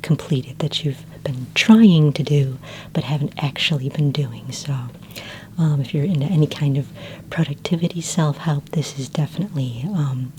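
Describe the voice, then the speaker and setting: Soft, low whispery woman, ASMR librarian. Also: normal speed, a little hiss or hum. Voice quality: soft, breathy near-whisper. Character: friendly, helpful sci-fi librarian woman. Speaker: ASMR performer playing a friendly librarian. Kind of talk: soft-spoken ASMR roleplay.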